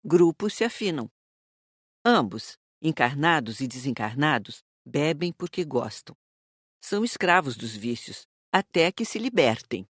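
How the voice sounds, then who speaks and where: A woman voicing a wise, kindly older woman who calmly teaches. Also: low-pitched, a little slow, breathy edge, dry recording, studio quality, pitched below the performer's own voice, full character voice. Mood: instructive. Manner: calm, instructive.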